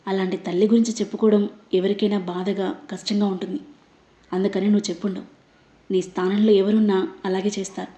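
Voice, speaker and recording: A woman voicing a calm, kind man who gently comforts and defends his wife. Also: mid-range voice, fast, echoey room, lightly acted. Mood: soothing. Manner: gentle, soothing.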